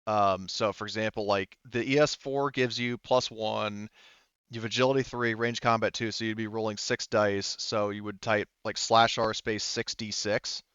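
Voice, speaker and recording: A man with a smooth, clean voice runs a tabletop game in an informal way. He sounds close and dry, as on an online voice call, with the sound a little dulled. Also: on the low side, steady tone, moderate pace, fairly bright tone, no room sound, muffled recording. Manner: informal, sweary. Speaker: game master. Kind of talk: casual online tabletop game narration and rules talk.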